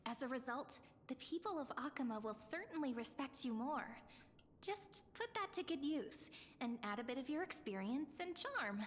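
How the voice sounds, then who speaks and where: A woman playing a calm, thoughtful female companion and guide in a video game, speaking in a measured, encouraging way. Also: high-pitched, slight background noise. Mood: encouraging, fond. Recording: long hall reverb over game music.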